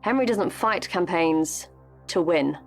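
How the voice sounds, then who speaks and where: A woman's voice: on the low side, animated, slow pace, faint music behind. A woman acting in a radio play as a shrewd political operative from a rival party, knowing and pointed, leading with rhetorical questions. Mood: pointed, pressing.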